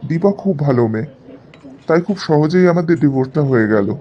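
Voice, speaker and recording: A man's voice: on the high side, expressive, fast, close to an everyday voice. A man voicing an earnest young divorced man in cartoon dialogue, on a muffled recording. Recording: dull, muffled audio.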